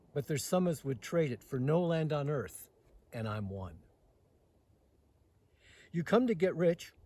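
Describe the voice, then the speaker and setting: Husky man reciting to an audience. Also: fairly high pitch, dark tone. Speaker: host reading poetry aloud. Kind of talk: poetry recitation with casual introduction. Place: outdoors in the mountains.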